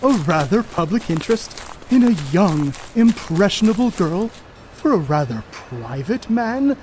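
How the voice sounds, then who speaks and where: A man's voice: high-pitched, very animated, a little slow, darkish tone, a little hiss or hum. A man playing a boastful, crude star ballplayer in a 1930s stage play, cocky and needling in folksy slang. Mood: sly, needling. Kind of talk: amateur stage play acting, 1930s period dialogue.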